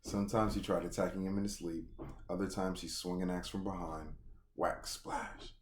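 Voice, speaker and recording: Male read-along host, low voice, noisy recording, casual narration mixed with animated slangy asides. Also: moderate pace. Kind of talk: casual reading aloud with excited reactions and commentary.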